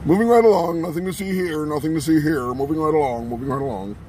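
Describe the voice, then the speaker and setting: A man's voice: a little high, quick pace, warm tone, a little hiss or hum. A man filming a casual travel vlog outdoors, chatty and wry, who is losing his voice. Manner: chatty, wry, self-narrating. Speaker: vlogger. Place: outdoors, windy town streets. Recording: music under the voice.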